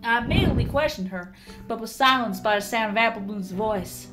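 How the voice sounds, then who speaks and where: Girl doing a scared farm pony confessing, highish voice, pleading, music behind it. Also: expressive, fairly quick, close to an everyday voice. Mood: haunted, recounting. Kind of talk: dramatic fan fiction voice acting. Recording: background music under the voice.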